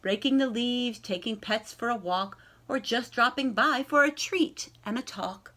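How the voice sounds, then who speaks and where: A woman reading a children's picture book aloud in an expressive storytelling style, addressing young listeners directly. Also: fairly high pitch. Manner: animated, pausing to ask young listeners questions.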